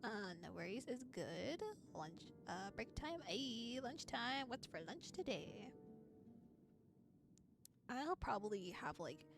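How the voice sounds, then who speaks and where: A woman streamer chatting casually and playfully as she plays a visual novel, giggly and cutesy in her phrasing. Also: medium pitch. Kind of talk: casual livestream chat while playing a visual novel. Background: music.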